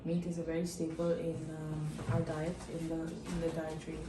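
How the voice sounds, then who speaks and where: Soft-spoken Nepali-speaking woman from India. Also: low voice, monotone, brisk, background noise. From India, Darjeeling. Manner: soft-spoken, thoughtful, many fillers. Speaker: interviewee, international student. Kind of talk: casual interview answers. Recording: echoey room, from a distance.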